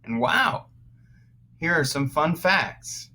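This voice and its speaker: A man teaching young children through a read-aloud, pausing to explain words as he goes. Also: a little high, animated, gravelly, sharp tone, a little hiss or hum. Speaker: first-grade teacher.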